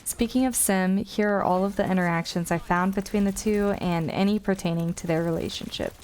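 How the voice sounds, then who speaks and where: A woman's voice: medium pitch, fairly even intonation, fairly quick, a little mellow. A woman narrating a scripted but casual gaming explainer in an informal, wry manner. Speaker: gaming video creator.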